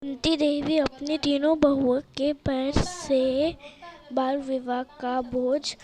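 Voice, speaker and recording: A woman's voice: sits high, steady tone, slow pace. A woman reading scripted narration for a moral story as its storyteller.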